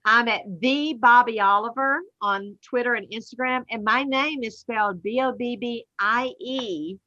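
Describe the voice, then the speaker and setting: A woman with a Southern American accent talks as a comedian guest, blunt and profane, laughing at herself. Her voice is bright and forward. The recording sounds slightly dull and lo-fi. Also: on the high side, moderate pace. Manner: blunt, profane, self-mocking, laughs often. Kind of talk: casual podcast interview.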